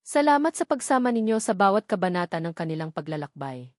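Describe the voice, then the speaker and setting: A woman's voice: on the low side, big pitch swings, fast talker, darkish tone, no room sound, studio quality. A woman narrating a romantic drama story from a script in an earnest, sentimental manner. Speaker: narrator of love story channel.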